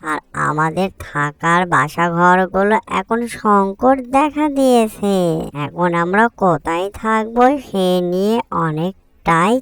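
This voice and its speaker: A woman's voice: medium pitch, expressive, slow pace, fairly bright tone, clear, resonant tone, no echo. A woman voicing a poor, hungry cartoon ant, earnest and plaintive in the way it talks. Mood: sad, worried. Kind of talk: cartoon dialogue.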